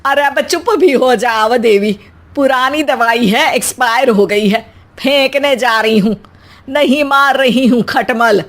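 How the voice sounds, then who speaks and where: A woman's voice: high voice, slow pace. A woman playing an exasperated, sarcastic older Indian mother-in-law in a comic story, scolding and teasing in colloquial speech. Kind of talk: acted Hindi comic story dialogue.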